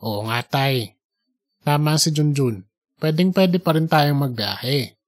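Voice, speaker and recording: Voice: medium pitch, expressive, takes it slowly. Narrator doing a plain-spoken man of sixty-five. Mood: agreeable. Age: 60s. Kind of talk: audiobook character dialogue.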